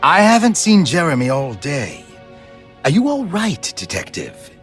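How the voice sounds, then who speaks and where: Slow, swooping, commanding man. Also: a little high, huge pitch swings, faint music behind. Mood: controlled, firm. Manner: measured, deliberate. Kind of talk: dramatic video game acting.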